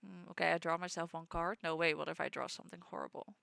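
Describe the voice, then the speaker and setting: A woman's voice: on the low side, steady tone, quick pace, creaky, a bit bright, clean tone, no room sound, studio quality. A young woman with a stuffy nose from a cold, playing a game and chatting in rambling, casual asides. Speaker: let's player. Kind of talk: reading game dialogue aloud, casual asides.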